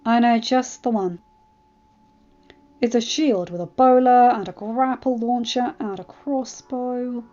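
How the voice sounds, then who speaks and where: A woman voicing an earnest young male smith, gentle and eager, in a storybook reading. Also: on the high side, steady tone, moderate pace, lo-fi sound, close to an everyday voice.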